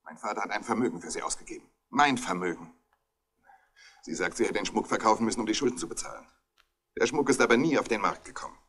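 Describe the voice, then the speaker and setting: Bitter greedy heir, deep voice, talks fast, old radio crime play, self-justifying. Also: male voice, lively intonation, fairly bright tone. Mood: resentful. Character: bitter, grasping grown son, a murder suspect. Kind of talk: 1960s German radio crime drama acting.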